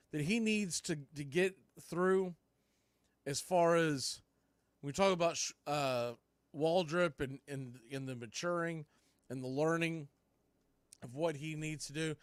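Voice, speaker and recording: A male baseball broadcaster with a notably high voice for a man. He works as play-by-play announcer and fan show host, talking through the game in radio style. The sound is dry, with very little room in it. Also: no echo.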